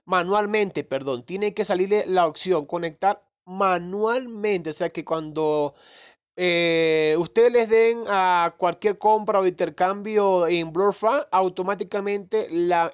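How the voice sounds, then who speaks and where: Man, tutorial voice-over, rambling, self-correcting, fairly high pitch. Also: takes it slowly, clean tone. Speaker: crypto gaming youtuber. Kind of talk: casual screen-recorded tutorial. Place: voice-over on a phone screen recording.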